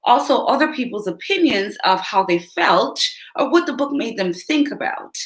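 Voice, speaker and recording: Female host reading a picture book to kids; animated, fairly high voice, talks to the children directly. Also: normal speed. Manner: speaks directly to young listeners, asks them questions. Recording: roomy echo.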